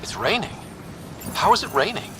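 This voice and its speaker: An actor playing an earnest young squad leader in a video game, decisive and plain-spoken. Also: male voice, high-pitched, very animated, moderate pace, background noise. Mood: curious, focused. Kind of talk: video game cinematic acting.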